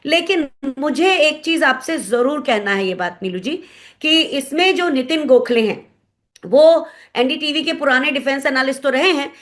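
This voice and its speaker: A woman with an Indian accent giving analytical commentary in an interview, explaining things and pausing to search for words. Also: high-pitched, lively intonation. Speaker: guest commentator, former pilot.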